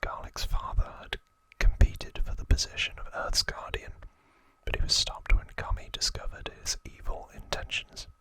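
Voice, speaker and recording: A man whispering softly in a breathy, unhurried ASMR style as he explains trivia informally, pausing between short phrases. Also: poor audio. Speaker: ASMR video host.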